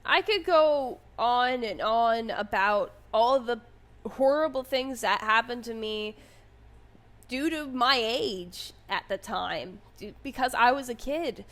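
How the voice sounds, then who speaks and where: High, bright, youthful young woman. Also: takes it slowly. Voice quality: high, youthful voice, sometimes mistaken for a child's. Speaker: online content creator telling their own story. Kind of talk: casual personal storytelling monologue.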